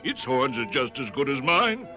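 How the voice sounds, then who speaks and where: Man voicing an evasive, animated cartoon bull. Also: mid-range voice, normal speed, faint music behind. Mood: apologetic. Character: evasive, busy bull friend making excuses. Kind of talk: animated fable dialogue.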